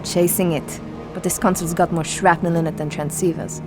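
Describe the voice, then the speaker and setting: A woman playing a sharp, defiant female soldier and tech specialist with a clipped delivery in a video game cutscene. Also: on the low side, fairly even intonation, brisk, over music, close to an everyday voice. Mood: blunt, probing.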